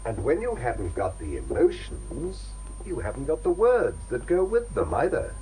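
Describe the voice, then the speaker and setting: An actor playing a grave, gently earnest former patient in a British radio drama, speaking haltingly with a British accent. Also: male voice, mid-range voice, huge pitch swings, background noise. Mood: reflective.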